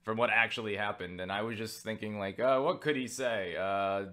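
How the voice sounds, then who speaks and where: A man's voice: fairly low pitch, normal speed, fairly bright tone. A man from the United States answering viewer questions in a casual vlog, rambling, joking, swearing freely and poking fun at himself. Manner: self-deprecating.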